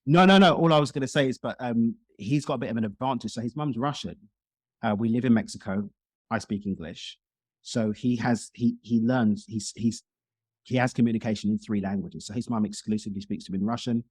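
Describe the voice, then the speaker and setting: Male interview guest: quick, talkative, close dry sound, conversational. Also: mid-range voice, slightly rough, dry recording. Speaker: podcast guest, speaker and coach.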